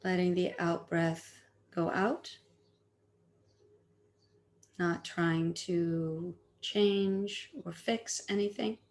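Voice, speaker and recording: A woman teaching meditation, giving gentle, soothing guided instructions with long pauses between phrases. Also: fairly low pitch, steady tone.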